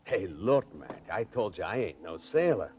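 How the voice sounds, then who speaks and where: A man's voice: low voice, very animated, normal speed, darkish tone. An actor playing a tough, slangy, mocking American henchman with a streetwise manner in 1940s radio drama style. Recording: noisy, muffled 1940s radio recording.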